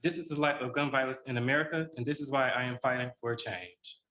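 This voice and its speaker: A man reading a prepared personal account aloud in a solemn, measured way. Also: mid-range voice, sharp tone, reverberant.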